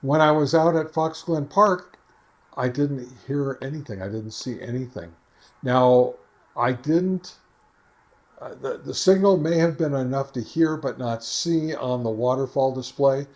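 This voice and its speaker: A man's voice: medium pitch, unhurried, a little mellow. A man hosting a ham radio video, chatting casually and playfully from his home radio shack, rambling with plenty of ums and uhs. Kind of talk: casual vlog chat.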